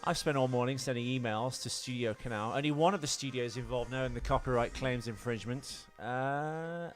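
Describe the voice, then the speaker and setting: A man's voice: medium pitch, a little fast. A man co-hosting a podcast in casual, rambling banter with some swearing. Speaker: podcast co-host.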